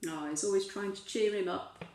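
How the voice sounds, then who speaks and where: A woman reading a storybook expressively to young children, pausing to put gentle questions to the listeners. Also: mid-range voice, roomy sound, slight background noise.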